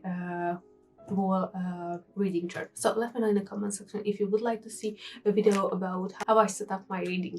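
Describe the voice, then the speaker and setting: A woman's voice: medium pitch, darkish tone, distant mic. A young woman with a Hungarian accent chatting casually about the books she read, restarting sentences as she goes. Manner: chatty, restarts sentences, non-native grammar. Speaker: book vlogger. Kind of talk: casual reading wrap-up chat.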